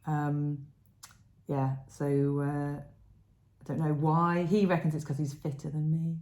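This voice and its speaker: A middle-aged English woman with a croaky voice, chatting loosely about her knitting, full of fillers. Her tone is lowish and mellow. The sound carries an echo, as if the room is bare. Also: flat delivery, slow. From England. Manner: chatty, rambling, many fillers. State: croaky voice after illness. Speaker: podcast co-host. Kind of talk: casual knitting chat. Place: home room.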